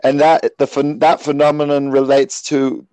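A man from New Zealand hosting a podcast, eager and quick to react to his guest. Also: a little high, level tone, a little mellow, clear, resonant tone, no room sound. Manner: enthusiastic, interjecting reactions. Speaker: podcast host.

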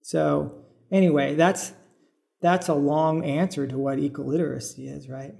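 A middle-aged man from California with an American accent and plenty of fry in a fairly high voice. He explains things in an informal, conversational way, working out his thoughts aloud as an interview guest. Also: steady tone, unhurried, dark tone. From suburban California, United States. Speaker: podcast guest, biologist turned farmer.

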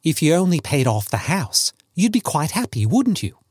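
A man's voice: fairly high pitch, very animated, normal speed, darkish tone, husky, no room sound, clean studio sound, voice pushed up. A man voicing a clever young son in a story, playful and teasing with a mock-serious manner. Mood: coaxing.

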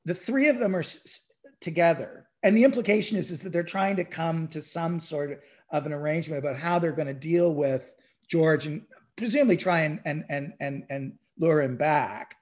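A man speaks in a somewhat high register in a casual podcast discussion. He tells things like a storyteller, leaning on key words, repeating phrases and pausing for effect.

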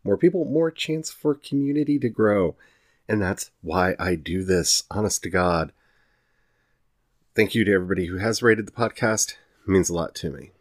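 Low-pitched man, enthusiastic podcast host. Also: expressive, moderate pace. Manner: enthusiastic, emphatic. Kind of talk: casual solo podcast review.

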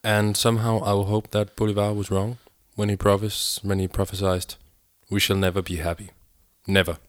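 A man's voice: fairly low pitch, flat delivery, moderate pace, dry recording, clean studio sound. A man co-hosting a podcast in a casual, conversational discussion style. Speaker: podcast co-host.

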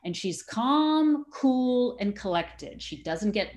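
Expressive, enthusiastic woman chatting as guest. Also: on the high side, takes it slowly. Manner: enthusiastic, expressive big personality. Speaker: interview guest, community theater actor. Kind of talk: casual interview chat.

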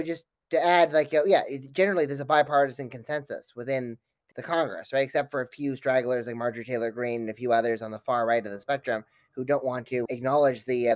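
Male expert guest, rapid analytical delivery with stumbling self-corrections, dry recording. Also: medium pitch, no room sound. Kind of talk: fast analytical interview commentary.

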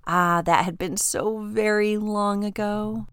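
Slow, smooth-voiced woman narrating a story. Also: mid-range voice, steady tone, no room sound, studio quality. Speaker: author reading own short story.

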